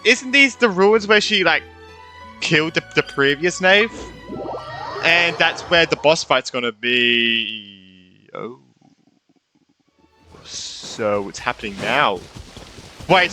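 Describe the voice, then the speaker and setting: A man's voice: a little high, bright, forceful tone. A young man streaming a video game, giving casual, reactive commentary with informal muttered asides. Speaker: gaming streamer. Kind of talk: casual live playthrough commentary. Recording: game music behind voice.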